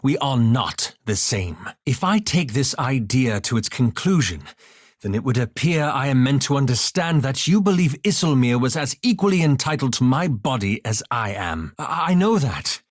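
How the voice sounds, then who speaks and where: A man's voice: mid-range voice, very animated, normal speed, dry recording. An actor playing a scholarly, easily exasperated male elf wizard in a video game, with formal, dry, sardonic diction. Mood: defensive, firm. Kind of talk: video game character dialogue.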